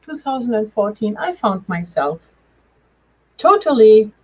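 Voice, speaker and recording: A middle-aged woman with a German accent and a slightly husky voice, telling her personal story as an interview guest in a halting, emphatic way. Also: on the high side, very animated, darkish tone, low-quality recording. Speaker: interview guest, change coach. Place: remote video call.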